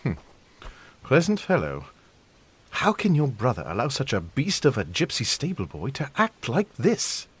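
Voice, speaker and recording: An actor playing a fussy, easily affronted young gentleman suitor, supercilious and indignant, in a period audio drama. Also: medium pitch, huge pitch swings, a little slow, breathy edge, full character voice. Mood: haughty.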